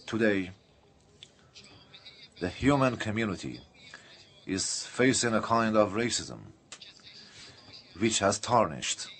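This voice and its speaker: A man interpreting a political speech live, speaking in halting, broken phrases with uneven bursts. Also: on the low side, expressive, a bit bright, slight background noise.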